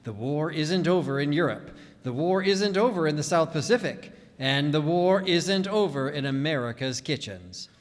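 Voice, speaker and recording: Man as high-voiced 1940s radio announcer. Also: expressive, moderate pace, hardly any pauses, lightly acted. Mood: earnest, persuasive. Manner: declamatory, persuasive. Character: earnest, polished 1940s radio announcer and pitchman. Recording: reverberant hall stage recording.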